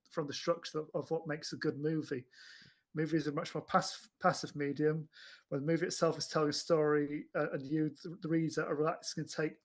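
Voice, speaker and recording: Man, somewhat high pitch, casual podcast talk, hesitant, repeats words while thinking. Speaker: podcast panelist. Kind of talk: casual film discussion.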